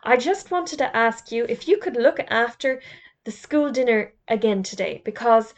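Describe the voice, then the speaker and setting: A pompous middle-aged headteacher, fussy and disapproving, laying down the rules in a loud, raised voice, as a woman reading aloud puts him on. He blusters and sounds easily ruffled in this storybook scene. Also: fairly high pitch, moderate pace, lightly acted. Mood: brisk.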